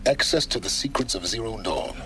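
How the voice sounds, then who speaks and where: A commanding, enigmatic guide from a video game. His low voice moves expressively through measured, articulate phrases, coolly calculating and imperious, with music underneath. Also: sing-song, creaky, sharp tone, exaggerated voice. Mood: calm, calculating. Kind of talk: video game cutscene acting.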